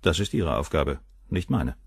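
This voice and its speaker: A man's voice: a bass voice, lively intonation, normal speed, creaky, husky, no echo, studio quality, exaggerated voice. An actor in a German crime radio play playing a cold, cynical middle-aged surgeon, detached and coolly superior as he answers questions. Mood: cool, composed.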